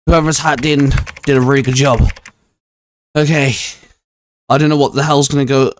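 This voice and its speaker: A man's voice: medium pitch, fairly even intonation, normal speed, slight background noise. A man hosting casually, talking in an informal, hesitant, improvised way. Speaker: YouTube channel host. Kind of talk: casual, improvised skit and chat.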